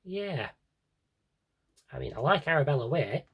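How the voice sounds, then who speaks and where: A British man talks casually to viewers in an unscripted vlog style. His voice is mid-pitched and a bit raspy, with very animated intonation. He rambles along, correcting himself and dropping in dry, offhand asides. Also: a little slow. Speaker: video blogger showing an audio drama CD collection. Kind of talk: casual unscripted collection tour.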